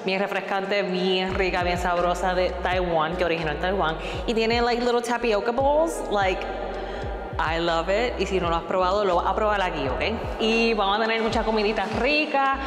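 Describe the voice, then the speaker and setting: Bubbly Puerto Rican woman in an echoey empty hall. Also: mid-range voice. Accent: Puerto Rican Spanish. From Puerto Rico. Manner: bubbly, mixes English into Spanish. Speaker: vlogger. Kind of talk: casual walkthrough tour. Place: large empty concrete commercial space. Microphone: clip-on lapel mic. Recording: echoey bare room with long reverb, music behind.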